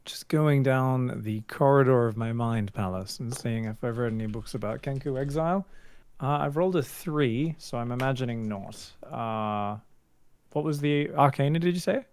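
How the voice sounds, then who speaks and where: A man playing a tabletop game, reporting his roll in a wry, quick way. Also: medium pitch, darkish tone, smooth. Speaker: player.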